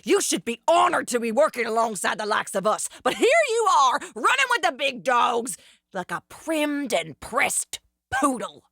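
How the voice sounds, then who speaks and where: A woman's voice: on the high side, very animated, moderate pace, creaky, bright, forceful tone, no room sound, studio quality, heavily acted. A woman playing a ruthless, power-hungry gunslinger in a western audio drama, taunting and domineering with theatrical insults. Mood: scornful, angry.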